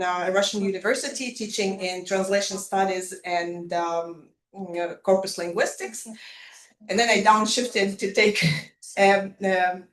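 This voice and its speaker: A woman gives an academic conference talk in a hesitant way, filling her pauses with "uh" and "um". Her voice sits at a middling pitch and carries the echo of a roomy hall. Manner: hesitant, full of fillers. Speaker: conference presenter. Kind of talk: academic presentation.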